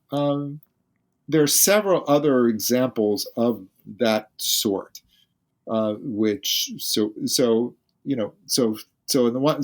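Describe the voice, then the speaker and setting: A male physicist, a guest on a podcast interview, who explains carefully and thinks aloud as he speaks. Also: mid-range voice.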